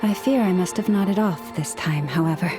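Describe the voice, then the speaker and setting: A woman voicing a gentle, dignified priestess with antlers in a video game, speaking in a polite, formal and measured way. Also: lowish voice, over music, natural voice. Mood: polite, composed.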